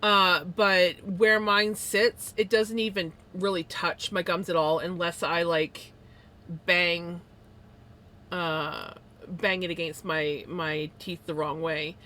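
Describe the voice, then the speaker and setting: A woman's voice: lowish voice, fairly even intonation, takes it slowly, sharp tone. A woman live streamer with a slight lisp, chatting casually and rambling to her viewers with many fillers and little laughs.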